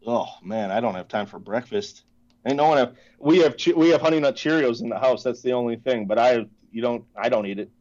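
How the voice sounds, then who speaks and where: A man's voice: mid-range voice, a little fast, a little mellow, lo-fi sound. A man in his thirties, a podcast guest chatting casually and laughing at himself. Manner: chatty, self-deprecating, laughs often. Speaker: podcast guest, case company founder.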